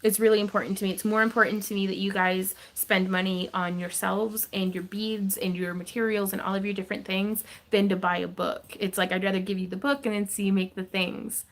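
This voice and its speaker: A woman's voice: medium pitch, little pitch movement, brisk, hardly any pauses, a bit bright. A woman hosting a craft livestream Q&A, chatty and giggly, with lots of fillers, from her home craft room. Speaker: craft channel host. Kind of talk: livestream Q&A chat.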